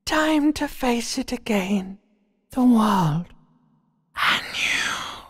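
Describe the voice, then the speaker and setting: A sinister inner voice of the ancient brain, close and dry with a long reverberant tail. It hisses and sneers in a raspy, strained half-whisper, dragging words out very slowly and theatrically, morbid and tormenting, like a video game monologue. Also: male voice, extremely high pitch, lively intonation, fairly bright tone, full character voice. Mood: morbid, taunting. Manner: slow, theatrical, drawn-out, swelling from whispers to cries.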